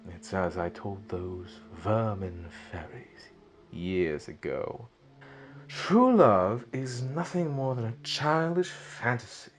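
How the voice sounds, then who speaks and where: An actor playing a wicked, mocking sorcerer villain in an audio drama, with a taunting, theatrical delivery. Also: male voice, on the low side. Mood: gloating. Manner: taunting, theatrical, drawn-out delivery with sinister chuckles. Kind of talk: fan audio drama acting.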